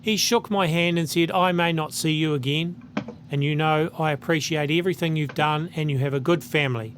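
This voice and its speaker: A man's voice: fairly high pitch, steady tone, fairly quick, a bit bright, a little hiss or hum. A man with a New Zealand accent, hosting his channel and earnestly reading a letter aloud.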